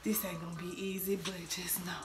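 Sassy young American woman over loud music. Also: fairly low pitch, little pitch movement, brisk. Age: young adult. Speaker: vlogger. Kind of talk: casual chat to viewers. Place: small bedroom at home.

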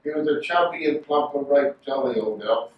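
A man's voice: medium pitch, moderate pace. An older man playing Santa, reading a storybook aloud. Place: indoor fireside Christmas set, roomy sound. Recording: echoey, distant, muffled with background hiss.

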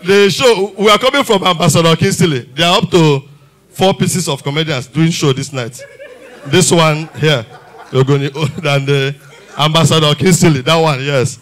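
Nigerian guy doing stand-up on a big stage, kinda high voice, fires off rhetorical questions, handheld mic. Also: normal speed. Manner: animated, mixes Pidgin and English, rhetorical questions. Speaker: stand-up comedian. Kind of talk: stand-up comedy set. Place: large theater stage with audience.

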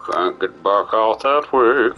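A man's voice: lowish voice, animated, slow pace, pushed and bright, lo-fi sound, faint music behind. A man streaming a game with casual commentary, musing aloud and breaking into playful theatrical outbursts. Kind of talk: casual live gameplay commentary.

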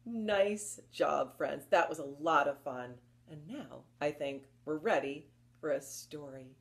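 A woman in her fifties presenting story time for young children, expressive and encouraging, often putting questions to her listeners. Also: deep voice, gravelly. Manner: expressive, encouraging, asks listeners questions, slow deliberate delivery. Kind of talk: children's story time with rhymes and picture book reading. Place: indoors, small book-lined room at home.

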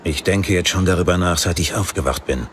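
A man playing a proud, wry paladin husband with an earnest, measured manner in an audiobook drama. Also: sits low, a bit bright, a little hiss or hum. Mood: concerned.

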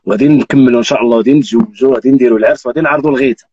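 A man's voice: mid-range voice, rapid-fire, dark tone, highs cut off. A Moroccan man in his thirties hosting a live stream, teasing and telling jokes with frequent oaths. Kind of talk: joking casual chat. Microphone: phone on live video call.

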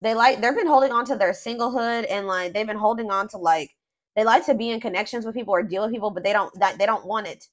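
A woman giving a casual tarot reading off camera, thinking aloud with fillers and restarts, her voice a little hoarse. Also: mid-range voice, fast. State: voice going hoarse. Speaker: tarot reader.

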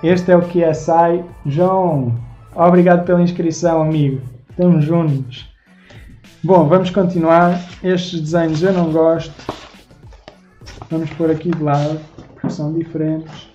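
A young man in his twenties with a Lisbon Portuguese accent chats on a home live stream and thanks each viewer by name. His voice is mellow and a little dark. The audio is dull and lo-fi, with faint music behind him. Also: fairly high pitch. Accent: European Portuguese, Lisbon. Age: 20s. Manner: chatty, thanking viewers by name while showing drawings. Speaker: live-stream host and artist. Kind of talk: casual live-stream chat, reading viewer comments. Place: small home room indoors.

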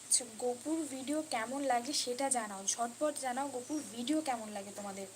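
A woman's voice: a little high, a little fast, a little hiss or hum. A woman chatting casually and unscripted as a live stream host, repeating herself and mixing in English words. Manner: repetitive, mixes in English words. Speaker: live stream host reading and answering viewer comments.

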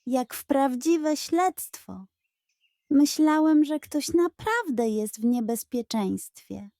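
A woman's voice: high-pitched, lively intonation, takes it slowly, warm tone, no room sound, clean studio sound, pitched above the performer's own voice, exaggerated voice. A woman voicing an earnest, firm but kindly male piglet detective in a children's audio story. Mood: amazed.

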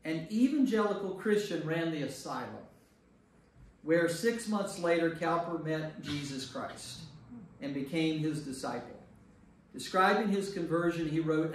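A man with a fairly high voice leads a Bible study as a priest, speaking to a group. He is emphatic and often repeats a phrase to drive it home. The room is very echoey, and the microphone sits some way off with faint noise. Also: distant mic.

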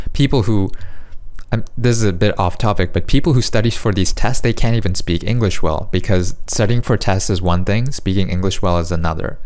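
A man's voice: on the low side, a little mellow, clear, resonant tone. A man in his thirties with a North American accent, talking casually and rambling a little as he shares his views in a vlog from a small bedroom at a desk mic. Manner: rambling, self-correcting, conversational. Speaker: vlogger. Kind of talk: casual talking-head commentary. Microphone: desk microphone in front.

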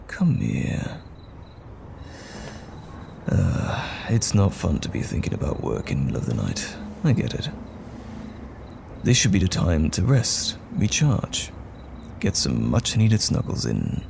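A man acting as a tender, worried boyfriend in an intimate audio roleplay, speaking gently and soothingly to comfort a troubled partner at night. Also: on the low side, vocal fry, a little hiss or hum, heavily acted. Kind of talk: intimate roleplay audio drama, soft bedside talk.

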